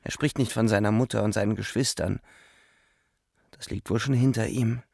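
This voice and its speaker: A man's voice: fairly low pitch, fairly even intonation, moderate pace, gravelly, a little mellow, slightly rough, dry recording, studio quality. An actor playing a weary, grieving young German soldier narrating his war in a radio drama, subdued in manner.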